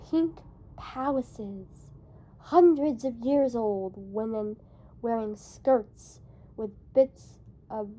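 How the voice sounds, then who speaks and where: A woman reading a novel in verse aloud as an amateur, with a halting delivery that stumbles and repeats phrases. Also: a little high, mellow, low-quality recording. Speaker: amateur book reader.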